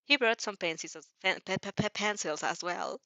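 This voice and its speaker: A woman's voice: medium pitch, moderate pace, creaky, pushed and bright, dry recording, muffled recording. A woman reading fan fiction as a storyteller with casual reactions, giggly and often stumbling over and rereading phrases.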